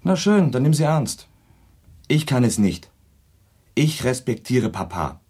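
A cheeky young son in a radio drama. His husky voice moves up and down in a lively way, with a blunt, teasing and sardonic delivery. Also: medium pitch, unhurried. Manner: flippant, direct, teasing. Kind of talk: German radio play acting.

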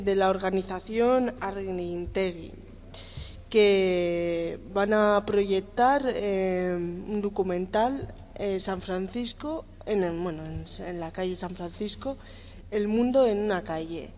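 A woman's voice: medium pitch, slow, darkish tone. A woman hosting a live radio interview, hesitant, with many fillers and restarts. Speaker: radio host.

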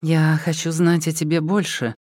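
Audiobook female lead male narrator acting: candid and probing, sincere curiosity, voice raised in pitch. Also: completely flat delivery, normal speed, a little mellow, no echo, studio quality, natural voice. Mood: curious. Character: inquisitive, frank woman on an intimate date. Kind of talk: audiobook character voice.

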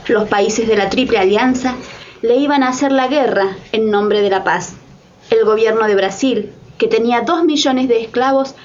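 A woman's voice: on the high side, darkish tone, highs cut off. A woman giving solemn, scripted narration for a radio drama. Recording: clear background noise.

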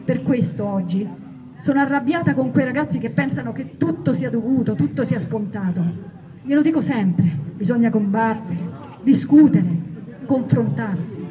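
A woman giving a theatrical reading of a first-person memoir, acting out the shouts and quoted speech. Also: fairly high pitch, normal speed. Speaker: reader at a theatre event. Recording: distant mic, dull sound, audience noise.

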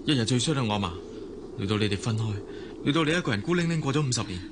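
A man's voice: medium pitch, animated, rapid-fire, noisy background, natural voice. An actor playing a well-meaning, apologetic young man in a radio drama, earnest and persuasive, with a Hong Kong Cantonese accent.

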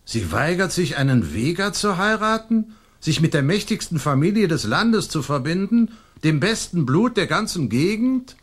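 An actor in a German radio play, playing a proud, domineering old colonial nobleman and stern father with a husky voice and an imperious, emphatic delivery. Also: high-pitched, sing-song, normal speed, heavily acted. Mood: indignant. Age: older.

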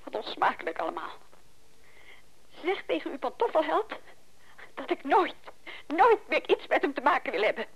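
A woman's voice: high voice, wildly swooping pitch, moderate pace, long pauses, heavily acted, poor audio. A woman playing a proud, wounded mistress in a radio play, scornful, curt and emphatic. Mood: scornful, hurt.

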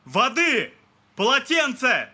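An actor playing an alarmed son in an audio drama, urgently barking orders in a strained, husky voice. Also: male voice, extremely high pitch, huge pitch swings, slow pace, pushed and bright, full character voice. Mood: panicked. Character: alarmed son shouting down a crazed old man.

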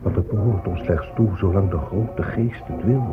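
Man as deep-voiced, dignified Native elder. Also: moderate pace, natural voice. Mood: proud defiance. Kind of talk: solemn radio drama oration. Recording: muffled phone-like old radio recording. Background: music.

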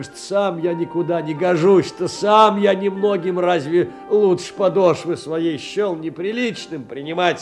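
An actor playing a poor middle-aged clerk, abject, tender and effusive, with old-fashioned courtly phrasing in an audio drama of letters. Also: male voice, a little high, darkish tone. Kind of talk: theatrical reading of letters in a stage radio play. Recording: music behind the voice.

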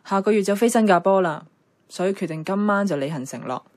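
A woman's voice: mid-range voice, brisk, lightly acted. A woman playing a caring, nostalgic young niece who narrates and acts in a radio drama, with a Hong Kong accent. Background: music.

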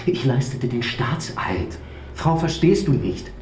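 A man's voice: mid-range voice, very animated, slow, a little mellow, reverberant, a little hiss or hum. An actor playing a stern, status-minded statesman husband who speaks formally and reproachfully in a theatrical drama. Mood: stern, bitter. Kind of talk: theatrical German stage drama dialogue.

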